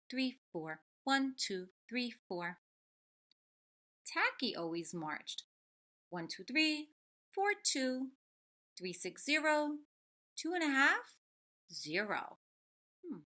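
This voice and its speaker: Lady teacher doing a read-aloud for little kids, bright voice, really animated, explains stuff carefully. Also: on the high side. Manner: deliberate, explanatory, addressing children directly; expressive storybook delivery. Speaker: elementary school teacher reading aloud. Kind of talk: read-aloud lesson for young children.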